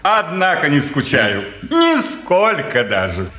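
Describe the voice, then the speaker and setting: An actor playing a cheerful, foppish police constable in an old radio play, teasing and mock-polite. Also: male voice, a little high, wildly swooping pitch, slow, bright, forceful tone, full character voice. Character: cheerful dandy police constable, young man, jaunty. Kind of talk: 1950s radio play acting. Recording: echoey old studio recording.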